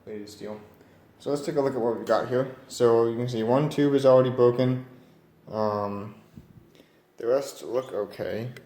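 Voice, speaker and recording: A man giving an unscripted hands-on walkthrough, musing aloud in a tentative, halting way. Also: medium pitch, dark tone. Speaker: radio repair hobbyist presenting. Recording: roomy echo, background noise, varying distance.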